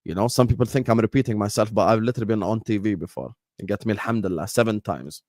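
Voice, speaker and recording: A man's voice: on the low side, fast talker, mellow, no room sound. Combative Arabic-native man, dry close mic. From Jordan. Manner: combative, repeats phrases, fillers like "you get me". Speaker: football channel host. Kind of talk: live-stream football talk.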